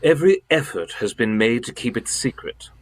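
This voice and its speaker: Casting: male actor as imperious senior government man, pompous and emphatic, mid-pitched, period radio mystery. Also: animated, normal speed, close to an everyday voice. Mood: grave, indignant. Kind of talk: radio drama acting.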